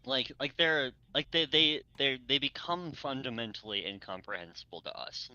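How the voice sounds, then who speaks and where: A man chatting casually and excitedly, rambling and stammering as he goes and often breaking into laughter. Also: mid-range voice, normal speed, dry recording, dull audio. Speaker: co-host of a fan fiction readalong. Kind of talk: casual enthusiastic group chat.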